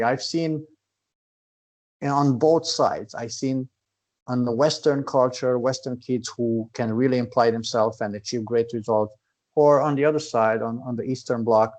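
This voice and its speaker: A man's voice: medium pitch, a little mellow. A man from Transylvania in Romania, a karate instructor explaining in casual conversation and checking in with "right?". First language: Hungarian. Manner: earnest, explaining at length with repeated "right?".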